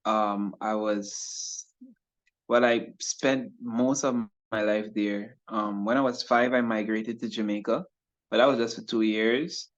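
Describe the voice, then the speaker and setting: A young man from Guyana, talking through an interview as a guest in an earnest, thoughtful way with many pauses. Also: lowish voice, steady tone. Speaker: interview guest, youth pastor and rapper. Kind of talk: casual interview chat. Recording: dull lo-fi audio.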